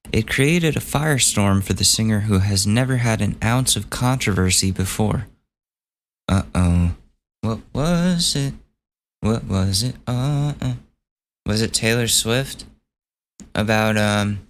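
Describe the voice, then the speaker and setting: A gravelly, hoarse man talks slowly through a solo podcast, somewhat low in pitch. His manner is offhand and sweary as he muses over each item. A faint hiss sits under his voice. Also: a bit bright. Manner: offhand, swears, thinks aloud while reading gossip items. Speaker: podcast host. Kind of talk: casual solo podcast commentary.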